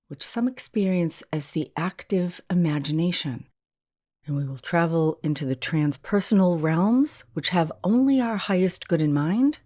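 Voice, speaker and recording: A woman leading a guided meditation as a hypnotherapist, in a soothing, gentle and unhurried manner. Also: low-pitched, dry recording, studio quality.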